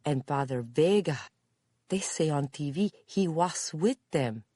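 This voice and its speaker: A woman's voice: deep voice, expressive, mellow, dry recording. Narrator voicing a frightened, remorseful Spanish-accented woman in her forties. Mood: sorry, dismayed. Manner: halting, simple broken English, emphatic repetition.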